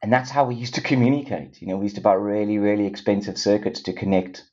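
A man's voice: on the low side, steady tone, fast talker, a little mellow. A middle-aged man with a South African accent, a company co-founder chatting as a guest, rambling with many restarts and easy laughs. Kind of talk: casual interview talk. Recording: dull lo-fi sound.

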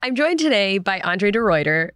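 A woman's voice: medium pitch, big pitch swings, normal speed, fairly bright tone, no echo, studio quality. A woman leading a podcast interview, asking friendly, direct questions.

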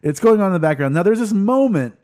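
A man's voice: high voice, animated, quick pace, darkish tone. A man hosting a casual podcast, rambling and laughing often as he chats with friends. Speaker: podcast host. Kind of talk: casual podcast banter while tasting drinks.

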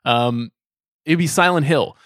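A man's voice: fairly high pitch, measured pace, a bit bright, no room sound, clean studio sound. A man hosting a podcast, chatting casually about films and putting questions to his guests. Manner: conversational, asks the guests questions. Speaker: podcast host. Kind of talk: casual film discussion.

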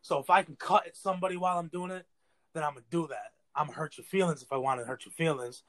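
A man's voice: on the high side, fast, fairly bright tone. Slangy young American man, interview guest. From New York State, United States. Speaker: interview guest, battle rapper. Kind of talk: casual slangy interview talk.

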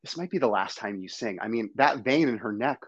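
A man chatting as a podcast guest, animated and gushing as he tells stories. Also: fairly low pitch, a little fast, husky, no echo, highs cut off. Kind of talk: casual podcast chat.

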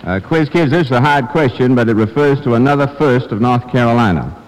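A man with a medium-pitched voice reads aloud in a formal style as a radio guest. The old recording carries the long reverberation of a hall. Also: animated, fairly quick, crowd noise, background noise. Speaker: mayor, guest reader.

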